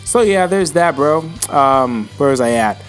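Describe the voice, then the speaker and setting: A man's voice: a little high, animated, measured pace, soft background music. A young man answering viewer questions in a casual vlog, rambling and slangy and calling viewers bro, with his voice starting to go. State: losing his voice.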